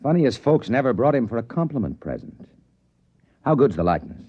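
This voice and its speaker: An actor playing a shrewd, wary trading-post boss in a 1950s radio drama, folksy and musing. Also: male voice, mid-range voice, very animated, a little fast, husky. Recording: muffled old radio recording.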